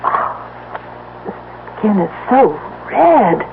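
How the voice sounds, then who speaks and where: An actress playing a gentle, fretful farm wife in a radio drama, speaking in an anxious, hesitant way. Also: female voice, fairly high pitch, sing-song, slow pace, vocal fry, full character voice. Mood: hesitant, concerned. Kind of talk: vintage-style radio drama acting. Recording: hissy, narrow old radio recording.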